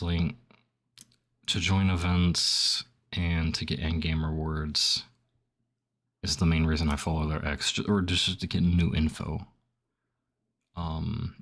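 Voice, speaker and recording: Young male streamer, very deep, slightly phlegmy, loose filler-heavy chatter. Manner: rambling, heavy on "like", reads options aloud then reacts. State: phlegmy throat. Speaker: gaming streamer. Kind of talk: casual commentary while filling out a survey.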